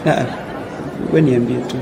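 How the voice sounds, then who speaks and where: A man's voice: mid-range voice, fairly even intonation, measured pace, gravelly. A man acting as a troubled, hesitant student confiding in a friend in a radio drama. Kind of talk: radio drama dialogue, code-switching casually. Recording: echoey, noisy public space with crowd.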